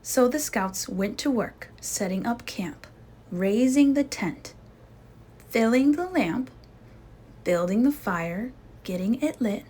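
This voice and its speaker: Female picture-book narrator, fairly high and husky, unhurried and expressive. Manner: expressive, suspenseful storytelling. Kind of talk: children's storybook read-aloud.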